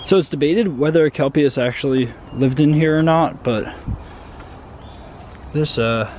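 A young man in his twenties talking casually to the camera as a vlogger, relaxed and unsure, with lots of fillers, recorded on a handheld phone. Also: on the high side, measured pace, noisy background. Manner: relaxed, uncertain, many fillers. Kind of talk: casual vlog walkthrough. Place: wooded trail outdoors, then apartment living room.

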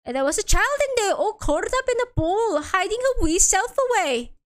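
Lady putting on old irish coachman witness, folksy rambly storyteller, high and singsong. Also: sing-song, fairly quick. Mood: storytelling. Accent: attempted Irish.

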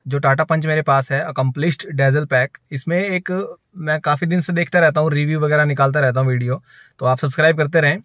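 A man's voice: on the high side, fairly even intonation, fast talker, a bit bright, no echo, clean studio sound. A man in his thirties from India, talking casually into a phone held at arm's length inside a car at night, reviewing its features. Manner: chatty, repetitive, explains while showing. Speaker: car vlogger.

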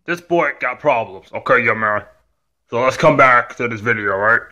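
A man's voice: medium pitch, normal speed, pushed and bright, voice pushed down. A man playing a stern, weary father who scolds and admonishes his young son in a homemade story. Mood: weary scolding.

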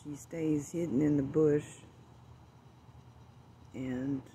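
A man filming in a backyard, talking slowly and gently, often dropping to a hushed voice. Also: on the high side, fairly even intonation, a little hiss or hum. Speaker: birdwatching vlogger. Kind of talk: casual outdoor narration while filming. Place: outdoors in a backyard among bushes. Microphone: handheld phone camera.

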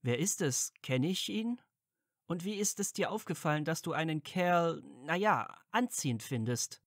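Male actor as earnest, easily flustered wizard friend, audio drama. Slightly high voice, dry close recording. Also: animated, normal speed, no room sound. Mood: flustered, curious. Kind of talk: fan fiction audio drama dialogue.

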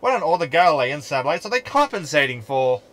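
A man's voice: a little high, very animated, fast, fairly bright tone. A man playing a sarcastic, scheming hologram in a sci-fi comedy audio drama, wordy and mocking.

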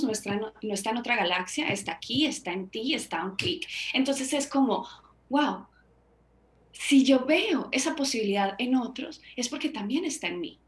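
A woman in her thirties from Colombia, answering interview questions earnestly as a book author over a video call on earbuds. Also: fairly high pitch. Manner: earnest, gestures with hands while talking. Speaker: author and guest. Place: small room at home. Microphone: wireless earbuds on a video call. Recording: echoey room on a video call.

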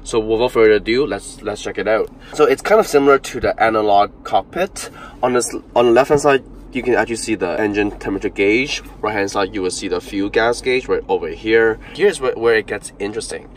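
Young male car vlogger, lowish voice, loose chatty delivery with fillers; phone in a parked car, faint cabin hum. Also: a little fast. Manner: chatty, lots of fillers and restarts. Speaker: car reviewer. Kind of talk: casual car walkthrough vlog.

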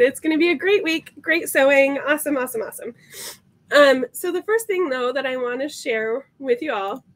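A woman hosts a sewing sew-along from her home sewing room, talking to a webcam in front of her at her desk. Her fairly high voice comes out gravelly and congested from a sinus infection. She chats cheerfully with plenty of ums and small laughs. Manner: chatty, upbeat, many ums and little laughs. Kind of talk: casual tutorial to camera.

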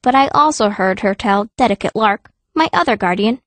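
A woman's voice: medium pitch, lively intonation, moderate pace, dry recording. A woman reading scripted first-person narration as a fierce young girl heroine of a fantasy audiobook. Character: fierce, stubborn girl stone mage telling her story.

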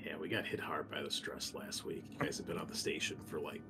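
A man's voice: extremely low pitch, fairly even intonation, quick pace, creaky, sharp tone. A man speaking as host and game master, chatting casually and informally to listeners and players. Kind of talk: casual hosting chat for an actual-play podcast.

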